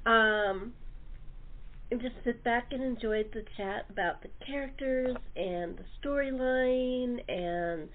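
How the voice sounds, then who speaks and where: A woman's voice: a little high, slow pace, slight background noise. A woman talk show host chatting casually and enthusiastically with her guests. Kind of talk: casual interview chat. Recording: tinny, narrow phone-line sound.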